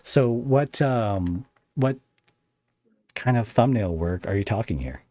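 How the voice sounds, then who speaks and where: A man's voice: on the low side, expressive, slow pace, warm tone, dry recording. A man hosting a casual interview podcast, talking in a rambling, self-deprecating way.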